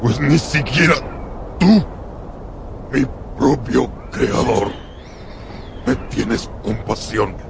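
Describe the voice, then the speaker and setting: An actor playing a gigantic, sorrowful creature of dead flesh in a radio drama, speaking gravelly, halting, broken phrases. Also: male voice, medium pitch, lively intonation, noisy background, exaggerated voice. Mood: bitter, wounded. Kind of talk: Spanish-language radio drama acting.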